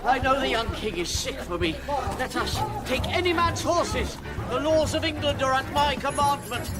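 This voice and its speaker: Blustering old knight, wildly animated. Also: male voice, extremely high pitch, moderate pace, a loud crowd. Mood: exultant. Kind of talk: Shakespearean radio drama acting. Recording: music playing behind the voice.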